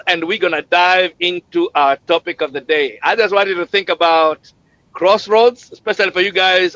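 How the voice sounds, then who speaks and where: A man hosts a live online session, piling up words in an exuberant, preaching cadence. His voice sits a little high for a man, and the audio is dull and lo-fi, as over a video call. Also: a bit bright. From Kenya. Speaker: host. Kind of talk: enthusiastic livestream hosting.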